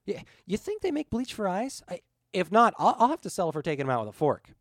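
A man's voice: a little high, big pitch swings, moderate pace, darkish tone, dry recording, studio quality, voice pushed up. A man voicing a dead friend in a story, an exasperated, sarcastic wisecracker who stammers. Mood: appalled.

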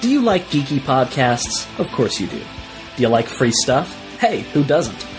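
A man reads a scripted promo in a lively, swinging delivery at a middle pitch. Guitar music plays clearly behind his announcement. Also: moderate pace. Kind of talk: scripted podcast advertisement.

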